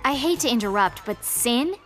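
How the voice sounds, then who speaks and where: Actress as sarcastic, exasperated young woman narrator-character for audio drama: fairly high pitch, faint music bed. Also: lively intonation, moderate pace, close to an everyday voice. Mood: puzzled, blunt. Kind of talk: audio drama acting and first-person narration.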